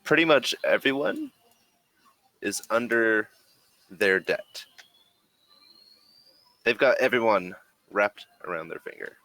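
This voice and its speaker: A man voicing a persuasive, condescending corporate agent in a tabletop role-playing game, with measured, probing speech. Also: on the low side, lively intonation, sharp tone, no room sound, full character voice, low-quality recording.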